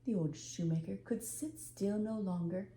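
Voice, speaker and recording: Mellow older woman reading a story. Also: mid-range voice, moderate pace. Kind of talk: expressive storybook narration. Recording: echoey room, faint background noise.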